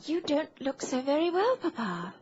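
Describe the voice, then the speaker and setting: High-pitched spirited young lady. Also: very animated, normal speed. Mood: concerned. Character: spirited, well-bred daughter of a gentleman. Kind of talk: old-time radio drama acting. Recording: muffled, noisy vintage radio recording.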